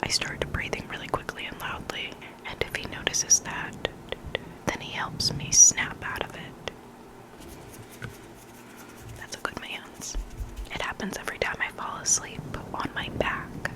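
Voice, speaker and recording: Hushed ASMR whisperer reading creepy stories. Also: measured pace, pauses often, rough recording. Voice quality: breathy unvoiced whisper.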